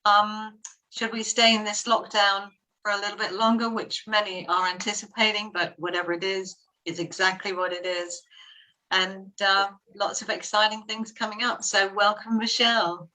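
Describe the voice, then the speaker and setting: A woman's voice: mid-range voice, little pitch movement, normal speed, pushed and bright, distant mic, low-quality recording. A New Zealand woman interviewing a guest, speaking admiringly with some fillers. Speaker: interviewer from a wellness centre. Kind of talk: casual interview.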